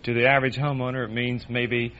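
A man's voice: mid-range voice, level tone, fast, a bit bright. Man of thirty on a muffled old radio quiz. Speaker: quiz show contestant. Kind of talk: unscripted answers on old-time radio quiz. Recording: dull vintage radio, faint noise.

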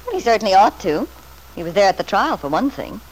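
A woman's voice: medium pitch, big pitch swings, quick pace, a little mellow, lightly acted. Ingratiating, swooping schemer, played by a woman. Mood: indignant sympathy. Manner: solicitous, ingratiating, emphatic. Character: scheming, flattering woman, soothing and falsely devoted. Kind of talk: old-time radio soap opera acting. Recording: noisy vintage radio drama recording.

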